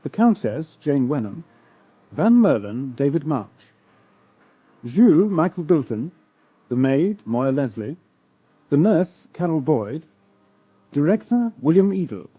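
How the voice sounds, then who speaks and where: A British man reading a scripted radio announcement in a formal, clearly enunciated manner. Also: a little high. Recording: dull, band-limited radio recording.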